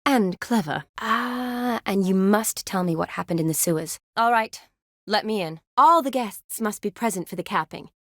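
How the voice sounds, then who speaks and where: An actress playing a kind, spirited young woman medic in a video game, teasing and wistful, speaking in an expressive, conversational way. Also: medium pitch, normal speed, no room sound, clean studio sound, natural voice. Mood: warm, lively. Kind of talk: video game dialogue acting.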